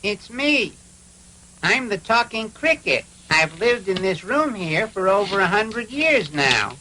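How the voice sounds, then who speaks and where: An ancient cartoon cricket, bright pointed voice, lecturing and scolding. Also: male voice, sits high, big pitch swings, slow, slight background noise, exaggerated voice, rough recording. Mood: matter-of-fact. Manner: preachy, admonishing. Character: hundred-year-old moralizing, scolding talking cricket. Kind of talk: animated cartoon dub acting.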